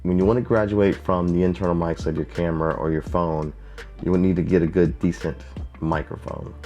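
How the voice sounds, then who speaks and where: A man with a very low, deep voice talks through a casual video tutorial in a plain-spoken way, often repeating himself for emphasis. He speaks into a lav mic at a home desk, with faint music in the background. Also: fairly even intonation, fairly quick, warm tone. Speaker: YouTube creator teaching video basics. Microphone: lav mic, wired then wireless.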